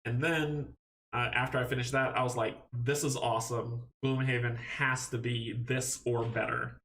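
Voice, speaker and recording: A man's voice: medium pitch, little pitch movement, moderate pace, fairly bright tone, rough recording. Upbeat rambling man from Guyana. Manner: rambling, self-correcting, upbeat. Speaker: channel host answering viewer questions. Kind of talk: casual live-stream chat.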